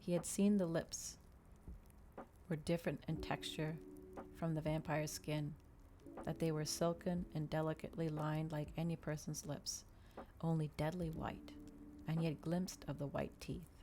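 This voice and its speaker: A woman doing a soft, unhurried ASMR reading of a novel, with small stumbles and restarts. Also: deep voice, little pitch movement, noisy background. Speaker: streamer reading a novel aloud. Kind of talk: ASMR audiobook-style reading.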